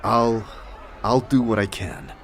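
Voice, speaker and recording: A man playing a compassionate, hesitant adventurer, speaking earnestly and haltingly in a fantasy audio drama. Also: on the low side, a little hiss or hum. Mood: earnest, probing. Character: hesitant, compassionate male adventurer and second-person narrator. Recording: loud music behind the voice. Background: music.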